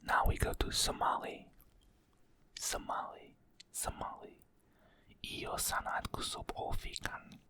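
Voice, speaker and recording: Slow soft ASMR whisperer from Portugal. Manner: soft whispering, slowly repeating each phrase. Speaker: ASMR creator.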